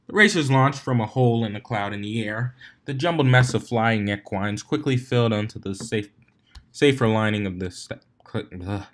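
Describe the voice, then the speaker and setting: A man's voice: fairly low pitch, moderate pace, a bit bright. A man reading fan fiction aloud as a storybook narrator, in an amateur way with occasional stumbles and retries.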